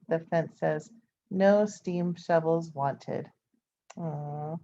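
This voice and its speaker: A woman reading a children's picture book aloud as an expressive storyteller, adding informal asides for her listeners. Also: low-pitched. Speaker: storybook reader. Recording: dull, lo-fi sound.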